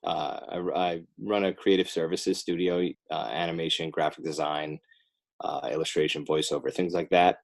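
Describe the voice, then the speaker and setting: A man's voice: very deep voice, fairly even intonation, moderate pace, creaky. A middle-aged American man chatting casually as a podcast guest, rambling in a wry, self-deprecating way. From northern New Jersey, United States. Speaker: podcast guest, animator and children's author. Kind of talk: casual joking interview chat.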